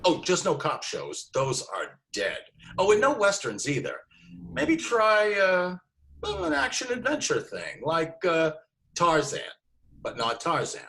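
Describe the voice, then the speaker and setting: Faint noise sits behind this higher-pitched man acting a busy Hollywood agent. He talks briskly and offhandedly, with big swings in pitch, in a conversational table-read style. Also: huge pitch swings, creaky, sharp tone, exaggerated voice. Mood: offhand advising. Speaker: actor in a screenplay table read. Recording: noisy remote online recording with clicks.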